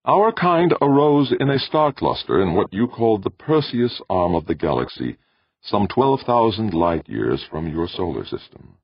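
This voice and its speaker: A man voicing an ancient, calm, self-aware alien machine in a sweet tenor, with measured, formal, unemotional speech for an audiobook story. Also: on the low side, slightly rough, no echo, dull audio, voice pushed up, heavily acted. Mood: calm, solemn.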